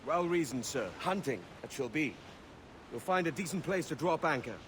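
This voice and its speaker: An actor playing a brash, roguish young pirate captain with a Welsh accent in a cinematic video game. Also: male voice, fairly high pitch, expressive, moderate pace, a little hiss or hum, natural voice. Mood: easy, wry. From Wales. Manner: swaggering, salty old seafaring turns of phrase. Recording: music under the voice.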